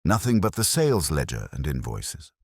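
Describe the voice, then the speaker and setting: A man voicing an earnest, sharp young police sergeant who talks in a questioning, earnest way, recorded close on a studio mic. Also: very deep voice, huge pitch swings, normal speed, gravelly, no room sound, full character voice.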